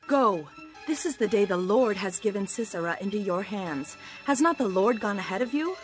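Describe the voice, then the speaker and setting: A woman's voice: medium pitch, animated, moderate pace, natural voice. A woman playing a firm, resolute prophetess and leader of ancient Israel in a dramatized scripture audiobook. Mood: urging. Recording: music bed behind the voice.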